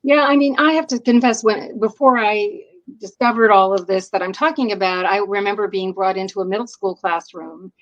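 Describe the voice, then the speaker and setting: An American woman with a mid-pitched voice speaks as a guest in an interview. She explains her points in a conversational, thoughtful way, often qualifying and rewording them. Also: normal speed, a bit bright, lo-fi sound. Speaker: interview guest, education writer.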